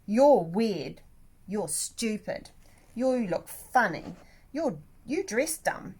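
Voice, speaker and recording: A woman's voice: mid-range voice, very animated, extremely slow, a little mellow, breathy edge, heavily acted. A woman voicing rowdy schoolchildren in a picture book, jeering and emphatic. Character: rowdy taunting schoolchildren in a lunchroom.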